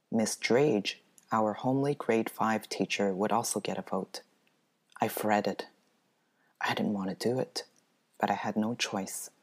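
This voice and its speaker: A woman's voice: extremely low pitch, breathy edge. A woman giving a scripted first-person reading of a horror story. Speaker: story reader.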